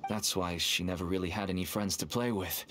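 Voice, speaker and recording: A man's voice: low-pitched, steady tone, brisk, a bit bright. A man voicing a nervous, wheedling middle-aged father and dealer in a game, with a persuasive manner. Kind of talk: game voice acting. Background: game music.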